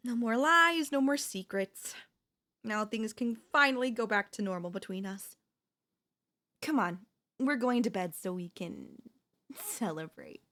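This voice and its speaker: Woman acting a high-voiced, sweetly menacing yandere wife. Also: expressive, bright, forceful tone. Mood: controlling, sweet menace. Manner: sweetly condescending, deliberate, theatrical. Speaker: voice actress. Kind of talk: ASMR audio roleplay, addressing the listener.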